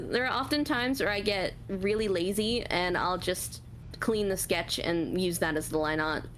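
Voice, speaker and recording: A woman with a naturally high voice, answering interview questions about her voice acting and art in a relaxed, hesitant way, with many pauses and fillers. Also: slight background noise, rough recording. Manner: relaxed, hesitant, many ums, laughs at herself. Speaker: voice actor and artist, interview guest. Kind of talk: casual Q&A interview.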